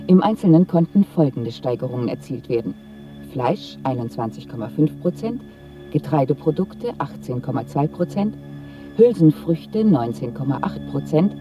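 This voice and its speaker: An actor playing a party propaganda announcer in a radio drama, reading in a formal, declamatory way. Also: male voice, on the high side, natural voice. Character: official male party announcer reading production triumphs. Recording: distant announcer with loud music.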